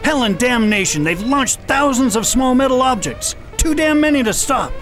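A man's voice: very high-pitched, animated, moderate pace, fairly bright tone. A man playing a hard-bitten, decisive space patrol commander in a science fiction radio drama, speaking in a blunt, folksy way. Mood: tense.

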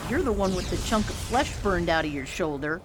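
A woman's voice: fairly low pitch, lively intonation, a little fast, over loud music, lightly acted. A woman acting as a tough, protective army medic in an audio drama, blunt and dry. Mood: dry, scolding. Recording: noisy track with chirping birds.

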